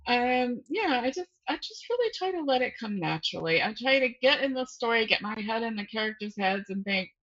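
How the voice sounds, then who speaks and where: A woman's voice: fairly high pitch, very animated, a little fast, hardly any pauses, a bit bright, highs cut off. A woman speaking as a guest novelist in a casual, informal interview, often breaking off and rephrasing as she thinks aloud.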